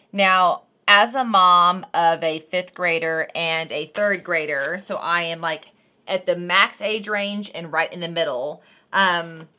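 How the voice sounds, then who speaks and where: A woman's voice: fairly low pitch, sharp tone. A woman in her thirties giving a casual, unscripted product review as a vlog host, talking to viewers in an informal, folksy way.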